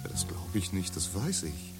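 A man's voice: low-pitched, very animated, normal speed. An actor playing an earnest man of a future society who rambles on in pseudo-technical jargon, partly half-whispered. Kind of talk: radio drama acting. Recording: music under the voice.

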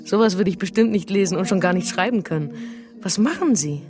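A woman's voice: medium pitch, expressive, a little fast, music in the background, close to an everyday voice. Plain-spoken, needy woman played by an actress. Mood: helpful. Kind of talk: German radio crime drama acting.

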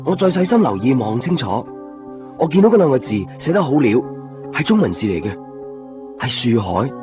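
A man's voice: medium pitch, very animated, moderate pace, natural voice. An actor playing an earnest, anxious young man in a Cantonese radio drama. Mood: quiet, intent. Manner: earnest, pleading. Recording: muffled 1990s radio recording with music behind.

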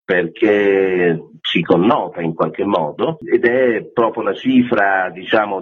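A man giving measured, emphatic explanations as a radio interview guest over the phone. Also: low-pitched, husky. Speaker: festival artistic director, guest. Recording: very thin phone line on radio.

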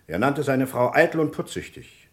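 An actor playing a dogged, skeptical police inspector in a German radio crime play, dry, probing and formally polite. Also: male voice, medium pitch, animated, moderate pace, close to an everyday voice. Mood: insistent.

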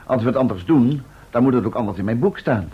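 A dull old radio play recording of a resolute, level-headed writer. He is very husky and talks fast, reasoning firmly in formal sentences at an ordinary male pitch. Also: animated. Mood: firm, agitated. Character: sober, cautious, resolute male writer, professor's companion. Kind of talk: Dutch radio play acting.

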